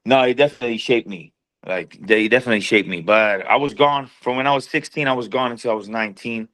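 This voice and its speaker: A man's voice: medium pitch, fast talker, fairly bright tone. A man, a boxer talking in a laid-back, slangy way as a podcast guest. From Sweden. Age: 30s. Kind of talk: casual chat.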